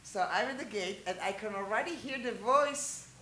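Israeli-born lecturer, native Hebrew and Hungarian, lively question-asking teaching style, roomy echo. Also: medium pitch, moderate pace, slight background noise. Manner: chatty, asks the listeners questions, animated asides. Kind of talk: informal lecture to a small group.

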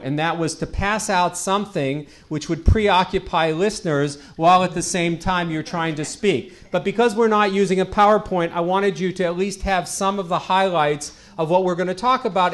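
A middle-aged man with a naturally loud voice lectures a community gathering as a guest rabbi, speaking into a handheld microphone. His voice sits high for a man, and he talks earnestly, repeating his key lines to drive them home.

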